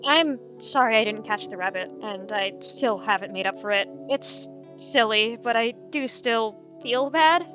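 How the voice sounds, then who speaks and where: A woman voicing an anxious, caring she-cat mentor in a story roleplay, gentle, halting and nervous. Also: fairly high pitch, over music, natural voice.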